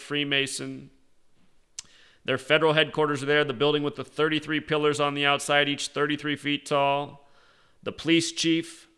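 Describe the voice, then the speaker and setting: A man's voice: a little high, sharp tone, clean tone. An American man delivering an unscripted commentary as an online show host, in a sardonic, pointed manner. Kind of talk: unscripted conspiracy monologue and commentary.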